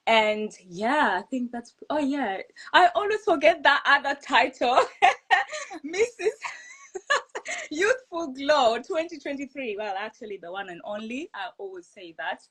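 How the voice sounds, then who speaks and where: Chatty woman co-host, high-ish voice, laughs easily, filler-heavy, casual on a video call. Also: big pitch swings, a little slow, a bit bright. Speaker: co-host and interviewer. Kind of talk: casual online panel chat.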